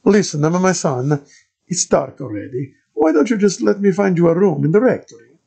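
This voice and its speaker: A man voicing a fat, fussy Franciscan monk with a thick Italian accent who hesitates and fills his speech with pauses. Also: high voice, animated, gravelly, dark tone, husky, voice pushed up, exaggerated voice. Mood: flustered. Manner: hesitant, full of fillers.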